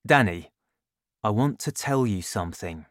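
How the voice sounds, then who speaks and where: A father with a lowered, hushed voice, confiding and scheming, as a man reads him aloud. The lines come slowly, with lively shifts in pitch. The sound is dry and close. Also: dry recording. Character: warm, scheming father, quietly resentful. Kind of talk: audiobook character voice.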